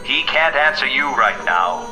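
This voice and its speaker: An actor playing a menacing, all-knowing crime fighter in 1950s radio mystery style, ominous and theatrical. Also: male voice, mid-range voice, lively intonation, bright, forceful tone, faint music behind, rough recording. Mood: sinister, mocking. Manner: slow, deliberate, ominous, theatrical.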